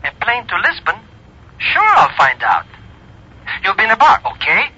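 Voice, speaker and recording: An actor playing a cheeky, wheedling small-time hustler in a 1950s radio drama, brash and wisecracking. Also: male voice, high voice, wildly swooping pitch, normal speed, sharp tone, full character voice. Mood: brisk, harried. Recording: muffled, raspy old radio recording.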